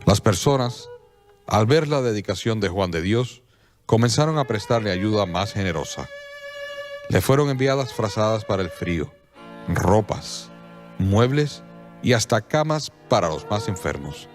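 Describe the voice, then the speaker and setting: Guy narrating a radio play, lowish voice, steady storytelling, quiet violin in back. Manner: measured, storytelling. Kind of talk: scripted radio drama narration. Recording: soft violin music behind.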